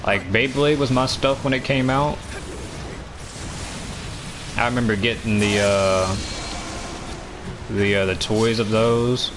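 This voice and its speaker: A nineteen-year-old man with a deep voice, chatting casually while streaming a game, full of fillers and restarts. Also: steady tone, slow pace, pauses often, background noise. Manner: rambling, many fillers and restarts. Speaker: gaming streamer.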